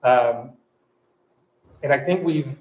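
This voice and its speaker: A man from France speaking English with a French accent, a guest at a fireside chat who talks in halting phrases with many restarts and fillers. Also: mid-range voice, reverberant, highs cut off. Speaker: panel guest.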